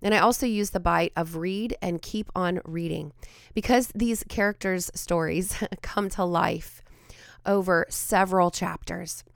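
A woman hosting a devotional podcast, her voice raspy and hoarse from a cold, speaking earnestly with frequent ums and restarts. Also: fairly low pitch, a little slow, dry recording, studio quality. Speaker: podcast host and Bible teacher.

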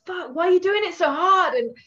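A woman's voice: very high voice, expressive, brisk, a bit bright. Sweary woman telling stories, dull audio. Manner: sweary, storytelling, laughs. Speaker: tattoo artist, guest. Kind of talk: casual chat.

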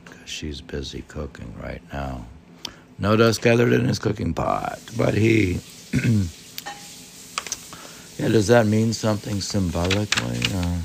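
A man with a gravelly voice hosting a podcast, reading aloud and musing in a halting, rambling way that often trails off. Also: low voice, a little hiss or hum. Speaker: podcast host and reader. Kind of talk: reading a book aloud with rambling personal commentary.

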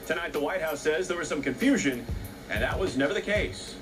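A man's voice: a little high, lively intonation, brisk, over music. A man with an American accent delivering a scripted news report in emphatic, clipped headline phrases. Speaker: news correspondent.

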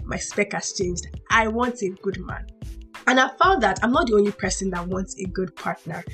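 A young woman hosting a faith podcast, talking in a chatty way with a hoarse voice and laughing between points. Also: medium pitch, fairly bright tone. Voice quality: husky. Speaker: podcast host. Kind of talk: faith-based casual podcast talk.

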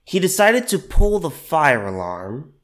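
A man hosting a solo commentary podcast, a little under the weather with allergies, who halts and repeats phrases with many fillers and slows down to stress his points. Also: fairly high pitch, sing-song. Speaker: podcast host.

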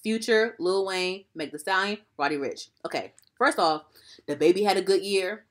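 A woman's voice: mid-range voice, normal speed. A young woman co-hosting a podcast, chatting animatedly about music and often repeating herself. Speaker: podcast co-host. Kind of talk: casual chat about music.

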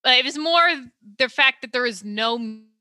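A young adult woman talking casually as a podcast guest, often pausing with ums and restarting her sentences. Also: fairly high pitch, a crowd in the background. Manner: hesitant, many ums and restarts.

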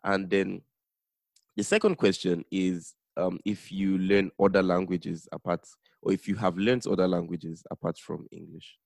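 A man's voice: low-pitched, flat delivery, unhurried, vocal fry, darkish tone, no echo, studio quality. A man hosting a podcast and quizzing his guest in a playful, teasing way. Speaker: podcast host. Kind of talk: casual podcast interview.

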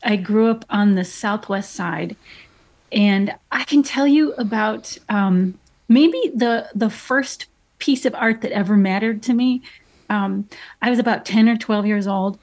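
A woman from Chicago, a guest artist, telling a story in an interview with many pauses and restarts. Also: medium pitch, darkish tone. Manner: thoughtful, lots of ums and restarts.

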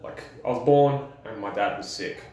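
A man's voice: on the low side, normal speed, a little mellow. A young Australian man from South Australia telling his life story in a loose, chatty way, full of "like" and restarts. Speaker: podcast guest. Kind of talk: casual storytelling. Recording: echoey, far from mic, faint background noise.

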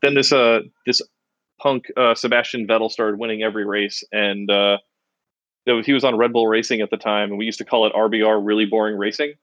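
A man's voice: on the low side, little pitch movement, brisk, a bit bright, no room sound, muffled recording. A middle-aged American man co-hosting a podcast, telling stories in a rambling, self-mocking casual chat. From United States. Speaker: podcast co-host.

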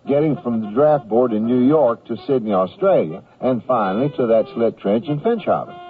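A male storyteller narrates a scripted radio drama with very lively intonation. His mid-range voice comes through a thin old radio recording, with faint music behind. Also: medium pitch, narrow, tinny audio.

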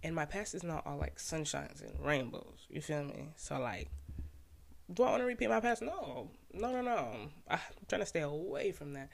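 A man's voice: on the high side, sing-song, normal speed, vocal fry. A young man from Haiti, a podcast host and poet, casually explaining his own poem in laid-back slang full of "like" fillers.

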